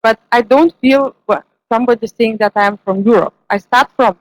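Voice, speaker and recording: Lithuanian woman, halting, fairly high. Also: dry recording, rough recording. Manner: searches for English words, speaks in loose, halting phrases. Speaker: moderator. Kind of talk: discussion remarks after a lecture.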